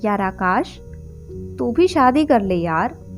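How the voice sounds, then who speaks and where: A woman's voice: fairly high pitch, very animated, slow pace, faint music behind. A woman storyteller voicing a devoted young man soon to marry, speaking to his friend in a sweet, earnest manner. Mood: happy, earnest.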